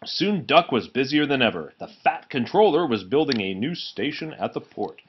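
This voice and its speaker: A man reading a children's storybook aloud as an expressive narrator. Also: medium pitch, moderate pace, muffled recording, a little hiss or hum. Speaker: storybook reader.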